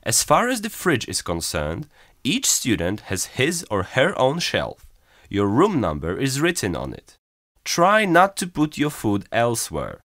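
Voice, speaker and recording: A man giving a clear, careful scripted reading for a language listening exam. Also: medium pitch, fairly bright tone, no room sound, studio quality. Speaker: exam recording reader.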